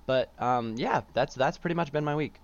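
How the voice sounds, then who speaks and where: A young man in casual chat as a podcast co-host who runs tabletop games, relaxed and rambling, with plenty of fillers and restarts. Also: medium pitch, moderate pace. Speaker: student podcast co-host, game master. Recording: dull lo-fi audio.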